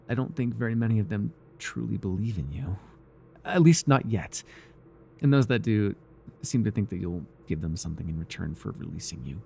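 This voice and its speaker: A man playing a devoted, obsessive cult servant and captor in an intimate ASMR roleplay, speaking reverently and haltingly, with sighs and stammers. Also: on the low side, creaky, a little mellow, slight background noise.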